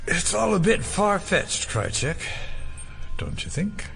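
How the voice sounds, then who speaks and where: An actor playing a sardonic, coolly menacing male interrogator in an audio drama, formal and deliberately mocking. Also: high-pitched, huge pitch swings, gravelly, a bit bright, faint music behind, heavily acted.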